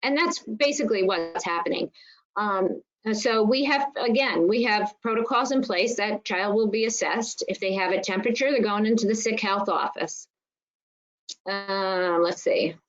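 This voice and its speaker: Dull, lo-fi online meeting audio carries a woman's mid-pitched voice. She talks informally as a nurse on a panel, laying out explanations with firm emphasis. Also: normal speed. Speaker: school nurse coordinator on a panel. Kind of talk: informal spoken presentation and discussion in an online meeting.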